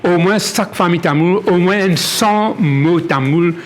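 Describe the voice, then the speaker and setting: Halting man speaking with repeated restarts. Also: high voice, a little hiss or hum. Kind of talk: spontaneous testimony in creole-tinged French.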